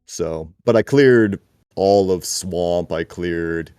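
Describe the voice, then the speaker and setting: A man's voice: sits low, slow, dark tone, clean tone, no room sound. A man, a racer chatting casually after a race, rambling and repeating himself as he restarts his sentences. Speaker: tournament racer. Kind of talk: casual post-race chat.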